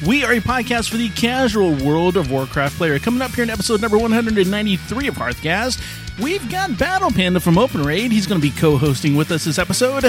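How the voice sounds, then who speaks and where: A man with a notably high voice co-hosts a casual podcast. His intonation is lively and varied as he chats and puts questions to a guest. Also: fast talker, music in the background. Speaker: podcast co-host. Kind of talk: casual interview chat.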